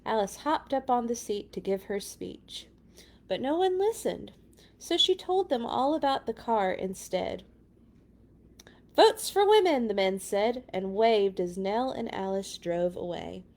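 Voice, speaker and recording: A woman's voice: medium pitch, expressive, unhurried, a little mellow. A woman reading a picture book aloud to children, with dramatic emphasis and chatty asides. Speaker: children's read-along storyteller.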